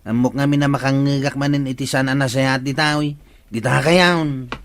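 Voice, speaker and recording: A man's voice: mid-range voice, steady tone, normal speed, a little hiss or hum, natural voice. A man plays a disgruntled villager in a radio comedy drama. His voice sits mid-range, with an Ilocano Filipino accent and an earnest, complaining delivery. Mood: resigned.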